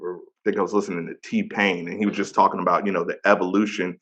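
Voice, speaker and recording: A man in his thirties with a deep voice, hosting a casual interview and praising his guest with slang. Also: level tone, fast talker, fairly bright tone, lo-fi sound. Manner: enthusiastic, slang-heavy praise. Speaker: podcast host.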